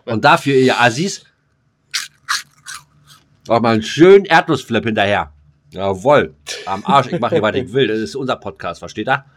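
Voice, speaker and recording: A man's voice: mid-range voice, animated, normal speed, pauses often, background noise. A man co-hosting a podcast in casual comedic banter, rambling and joking crudely. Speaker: podcast co-host.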